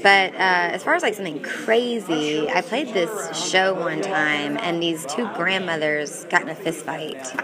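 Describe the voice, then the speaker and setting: A woman's voice: on the low side, unhurried, hardly any pauses. Chatty young woman country singer, crowd behind. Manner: upbeat, chatty, many restarts. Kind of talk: casual interview answers.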